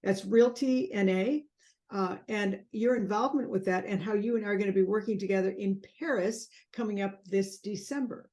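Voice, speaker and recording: A woman hosting an interview, gently leading her guest and complimenting him. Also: mid-range voice, fairly even intonation, normal speed. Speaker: interview host. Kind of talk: video interview.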